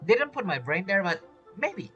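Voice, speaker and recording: Casual gameplay commentator: animated intonation, playful rambling, light game music underneath. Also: mid-range voice, normal speed, highs cut off. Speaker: game streamer. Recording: faint game music behind the voice.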